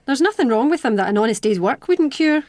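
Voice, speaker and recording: An actress in a radio drama playing a brisk, tart Scottish housekeeper, proper and pointed in manner. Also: female voice, high voice, expressive, a little mellow, dry recording, clean studio sound.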